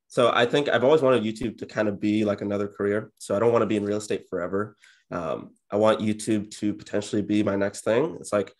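Young male guest, 20s, deep-leaning voice, unexcitable and introverted, relaxed conversational interview delivery from a home office. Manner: low-key, introverted, self-described monotone. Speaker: interview guest, real estate agent and YouTuber. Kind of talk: casual interview chat. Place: indoor home office, on a video call.

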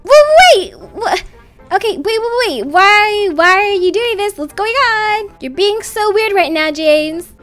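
A woman's voice: very high-pitched, expressive, slow pace, fairly bright tone, faint music behind, full character voice. A young woman voicing a bossy, possessive popular schoolgirl in a game story, snappy and condescending. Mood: alarmed, jealous. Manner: snappy, condescending teen slang.

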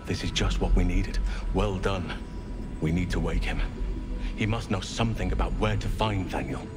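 An actor playing a solemn, earnest old elven druid in a video game, speaking in a grave, measured, formal way. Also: male voice, low voice, over loud music, natural voice. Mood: earnest, urgent. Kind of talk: video game character acting.

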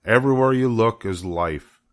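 A man's voice: fairly low pitch, normal speed, fairly bright tone. An older man narrating a children's book as a storybook reader.

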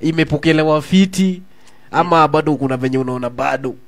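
A Kenyan man hosting a radio show, with a fairly high voice. He speaks in a relaxed, chatty way, asking long questions that mix English and Swahili slang. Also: fast. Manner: relaxed, chatty, frequent "uh-huh" backchannels. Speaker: radio host. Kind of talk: casual radio interview.

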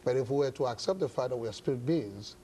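A middle-aged man with a Ghanaian accent, speaking as an interview guest in measured, hesitant phrases. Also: medium pitch, animated, mellow, slight background noise. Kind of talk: studio interview, measured explanation. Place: indoor television studio set. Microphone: lapel mic.

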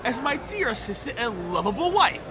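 Man playing a cheeky young professor, very animated. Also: very high voice, normal speed, over music. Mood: playful, bantering. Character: cheeky, good-natured young male high school professor. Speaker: voice actor. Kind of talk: audio drama acting with first-person narration.

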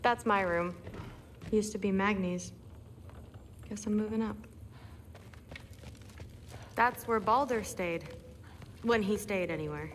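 A woman's voice: mid-range voice, slow pace, pauses often, in a large hall, slight background noise, natural voice. A young woman playing a friendly, eager, proud teenage girl warrior in training in a video game, talking in a casual, teasing way. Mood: friendly, confiding. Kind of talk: video game cutscene acting.

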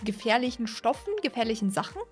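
High-voiced excitable young woman reacting. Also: quick pace, faint music behind. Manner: excitable, exclaiming, repeats herself. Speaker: gaming video host. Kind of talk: casual excited reaction commentary. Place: small home streaming room, indoors. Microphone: desk condenser microphone, close.

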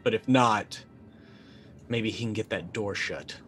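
A man in his forties playing a tabletop roleplaying game, casually narrating his character's actions and thinking aloud haltingly. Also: on the low side, fairly bright tone, faint music behind. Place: indoor room, online video call.

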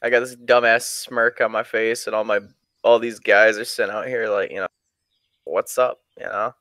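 A man's voice: fairly low pitch, fairly even intonation, moderate pace, a bit bright, no room sound, clean studio sound. A man casually telling stories to friends and a live chat as a streamer, crude and swearing heavily.

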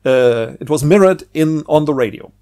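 A man's voice: on the high side, animated, normal speed, dark tone, clear, resonant tone. A man hosting a casual, unscripted book review, talking in a hesitant, rambling way with repeated words. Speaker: book review video host.

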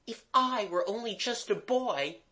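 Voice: medium pitch, lively intonation, unhurried, pushed and bright, voice pushed up. A narrator voicing a plucky, demanding young girl in an emphatic storybook manner. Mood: stout, retorting. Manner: emphatic, retorting.

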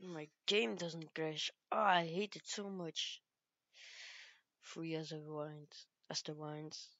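Soft-spoken girl gaming. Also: sits low, steady tone, dry recording, highs cut off. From Belgium. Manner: halting, searches for English words. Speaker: gaming youtuber. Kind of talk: casual gameplay commentary.

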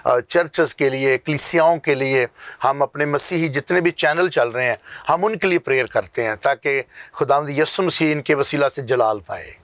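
A man's voice: mid-range voice, normal speed, fairly bright tone, dry recording. A middle-aged man with a Pakistani accent, a pastor speaking emphatically and repeating phrases as in a sermon, recorded on a lapel mic in a studio. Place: small TV studio.

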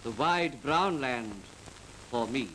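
A man's voice: medium pitch, expressive, slow pace. A middle-aged man, a radio teacher, speaking formally with careful diction as in a recitation lesson. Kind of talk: reciting poetry for a speech lesson. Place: small radio studio. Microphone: desk microphone. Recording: hissy 1940s film soundtrack.

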